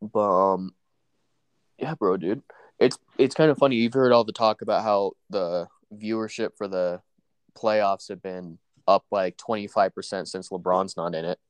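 A man's voice: fairly low pitch, fairly even intonation, moderate pace, clear, resonant tone, dry recording. A young man with a stuffy nose from allergies, chatting casually about work and sports, saying "dude" and "bro" a lot. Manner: laid-back, says "dude" and "bro" a lot.

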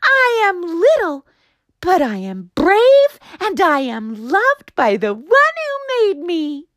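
A woman voicing a small, frightened young pine tree, a little boy, speaking in a high, earnest, pleading way. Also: unhurried, creaky, no echo. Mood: proud. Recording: dry close studio sound.

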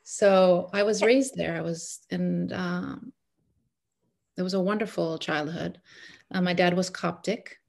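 A middle-aged woman from Egypt talks as a guest in a relaxed interview. Her voice sits low and turns gravelly at phrase ends, and she talks in an earnest way with fillers and restarts. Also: measured pace. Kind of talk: casual conversational interview.

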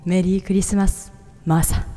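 Radio-drama lead: cool, reserved young man played by a woman, slow wistful delivery, terse, clear music underneath. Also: fairly low pitch, flat delivery, lightly acted. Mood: quietly moved. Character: cool brooding young man, former member of shady organization. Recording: music behind.

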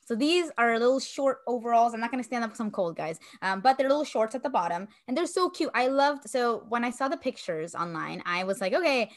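Young woman, podcast co-host, friendly backchanneling, slightly high voice. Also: expressive, fairly quick, hardly any pauses. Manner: agreeable, giggly, lots of backchannel. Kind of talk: casual podcast chat.

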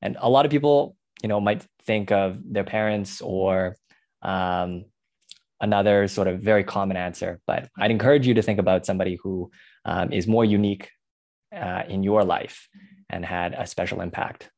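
Low-voiced enthusiastic podcast host man. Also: moderate pace, vocal fry, a little mellow. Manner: enthusiastic, encouraging interjections. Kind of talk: podcast interview.

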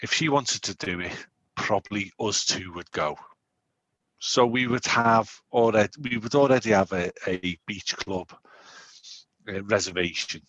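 A man in his forties chats loosely on a podcast, starting and restarting his thoughts. His voice sits low with a husky edge. The sound is close and dry. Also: a little slow, dry recording, rough recording. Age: 40s. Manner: rambling, animated, self-interrupting. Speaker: podcast co-host. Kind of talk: casual chat.

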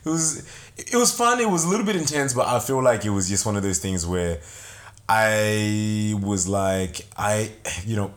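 A man's voice: lowish voice, normal speed. A young man from Zimbabwe, a podcast guest telling stories in a casual, laughing way. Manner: animated, laughing storyteller. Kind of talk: casual chat.

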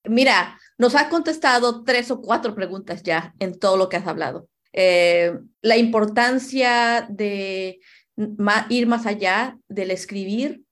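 A woman hosting a conversational interview, speaking affirmingly and repeating phrases for emphasis. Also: mid-range voice. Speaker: podcast host, interviewer.

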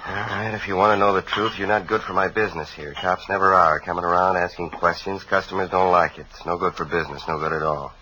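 A low, quick male voice with an American accent, a surly bar owner in a radio crime drama. He is curt, impatient and defensive, with a hard forceful edge, on an old, faintly noisy recording. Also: little pitch movement. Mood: irritated. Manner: curt, irritable. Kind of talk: 1950s radio drama acting.

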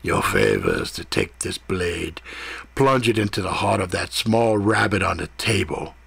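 A man's voice: sits low, sing-song, a little slow, creaky, fairly bright tone, slightly rough, dry recording, pitched below the performer's own voice, exaggerated voice. A man voicing a cruel, towering, sly villain with a menacing tone in a story reading.

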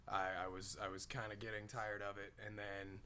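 Deep voiced guy at a convention, chuckles a lot while telling stories. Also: level tone, normal speed, sharp tone, clean tone. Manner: laughs often, tells stories with quoted voices. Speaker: guest cosplayer. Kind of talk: casual chat.

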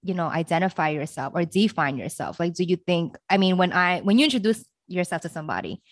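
A woman's voice: on the low side, quick pace, no room sound, studio quality. Bubbly, chatty young podcast host woman. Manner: bubbly, chatty, laughs often, rambling questions. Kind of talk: casual interview chat.

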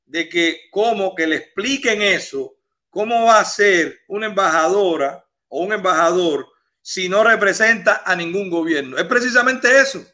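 Brief: man, Dominican accent, high and very bright voice, animated host. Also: normal speed. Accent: Dominican Spanish. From Cuba. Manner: animated, piles up lists of examples. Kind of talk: live talk show interview.

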